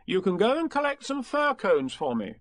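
A man voicing a kindly but brisk mother kangaroo in a children's story, gently giving orders. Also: sits high, huge pitch swings, no echo, clean studio sound, voice pushed up. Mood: motherly, brisk.

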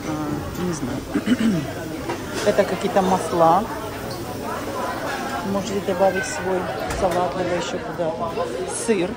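A woman's voice: lowish voice, steady tone, slow, pauses often. A woman vlogging a walk through a ship's buffet, chatting casually and thinking aloud as she films on a phone. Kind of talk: casual walking tour narration. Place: cruise ship buffet restaurant, indoors. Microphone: handheld phone camera. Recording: loud crowd noise around.

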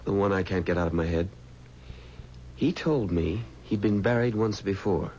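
A young man, an earnest and hesitant mortician, in 1970s radio drama. His lowish voice moves around a lot, on a muffled recording with faint noise. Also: normal speed, a little mellow. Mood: troubled. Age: young adult.

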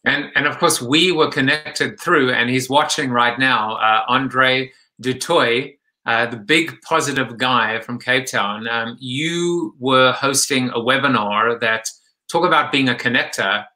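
A man in his forties from South Africa hosts a live show from a room at home, speaking into a webcam. His voice is bright and forward, with pitch in the middle range for a man, and he talks haltingly, restarting often. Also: rough recording. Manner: halting, many fillers and restarts. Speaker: host. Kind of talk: live online interview show. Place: home room with lamp and blinds. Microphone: webcam at desk.

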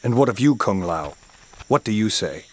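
An actor playing a solemn, commanding thunder god who mentors warriors in a video game, speaking formally and with measured gravity. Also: male voice, fairly low pitch, very animated. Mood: grave. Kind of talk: video game cutscene acting. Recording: loud music behind the voice.

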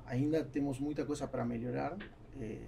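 A man in his forties, a native Spanish speaker with a South American accent, talking haltingly as a guest in an interview. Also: mid-range voice, fairly even intonation, vocal fry, mellow, slight background noise. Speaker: business owner, podcast guest. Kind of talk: casual interview chat. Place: small foam-treated podcast studio. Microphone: podcast mic on boom arm.

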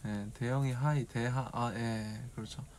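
Slow, gravelly young man with a regional Korean lilt. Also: medium pitch, steady tone, slight background noise. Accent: regional Korean dialect. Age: 20s. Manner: drowsy and meandering, mumbling to himself, softly singing snatches. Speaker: idol livestream host. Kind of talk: casual solo livestream chat with viewers. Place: small dim room at night. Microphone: phone camera at arm's length.

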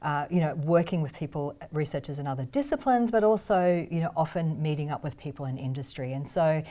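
The deep-voiced, enthusiastic middle-aged woman academic you would hear sharing her experience on a university panel. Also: expressive, a little fast, warm tone. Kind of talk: conversational panel discussion. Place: indoor studio panel set.

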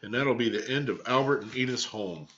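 A man's voice: medium pitch, brisk, fairly bright tone, highs cut off, natural voice. A man voicing a proud, worried father beetle in a storybook, emphatic and earnest.

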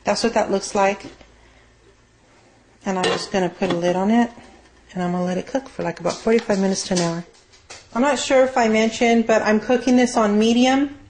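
A woman's voice: medium pitch, brisk, long pauses, darkish tone. A woman giving a casual, rambling, unscripted cooking demonstration as a home cook in her kitchen. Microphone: handheld camera mic. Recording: noisy amateur camera audio with clicks.

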